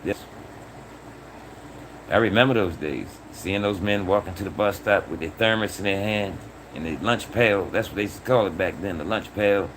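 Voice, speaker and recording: A man's voice: sits low, normal speed, a bit bright, slight background noise, poor audio. A man in his sixties talking casually to his livestream chat, earnest and repeating his points, often ending phrases with "man". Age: 60s. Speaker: livestream host.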